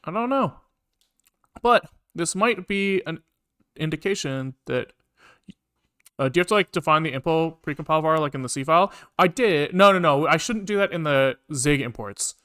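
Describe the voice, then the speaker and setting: A man thinking aloud casually as he programs on a livestream, halting and questioning himself as he mutters along. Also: fairly high pitch, expressive, a bit bright, clean tone. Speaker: live-coding streamer, programmer.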